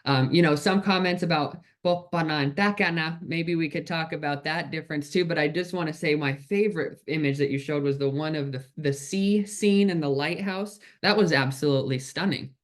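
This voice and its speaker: A man's voice: a little high, normal speed, hardly any pauses. Effusive man hosting a webinar. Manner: effusive, full of thanks and praise. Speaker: host, moderator. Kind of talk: moderating a webinar Q&A. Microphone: video call.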